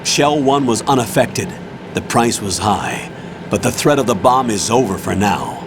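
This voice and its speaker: An actor playing a stern, businesslike military colonel giving orders in a dramatic video game. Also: male voice, on the low side, sing-song, a little slow, noisy background. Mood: sober, businesslike. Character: stern male colonel briefing his agent, businesslike. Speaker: commanding officer.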